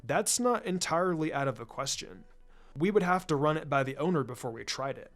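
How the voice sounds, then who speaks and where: A man voicing a calm, laid-back ninja teacher in a story reading, measured and matter-of-fact. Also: on the high side, faint music behind, lightly acted. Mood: instructive. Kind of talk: storybook-style character reading.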